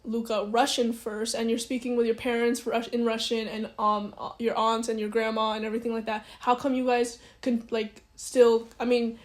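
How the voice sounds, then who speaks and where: A woman's voice: fairly high pitch, level tone, normal speed, few pauses. A young woman interviewing a friend, asking long, rambling questions with many restarts. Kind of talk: oral history interview.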